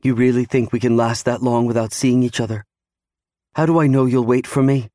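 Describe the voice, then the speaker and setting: A man's voice: medium pitch, fairly even intonation, brisk, mellow, no room sound, studio quality, voice pushed up, lightly acted. A man voicing a distraught, pleading wife of twenty-nine in an audiobook, afraid of losing her husband. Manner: pleading, protesting. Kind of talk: audiobook character acting.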